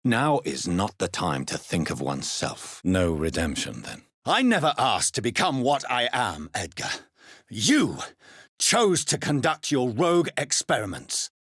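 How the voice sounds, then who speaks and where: An actor playing a refined, brooding doctor turned vampire in a video game, formal and articulate, with a deep, husky voice. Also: male voice, wildly swooping pitch, a little slow, a bit bright, no echo, studio quality, heavily acted. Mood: stern, then bitterly accusing. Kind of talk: dramatic video game voice acting.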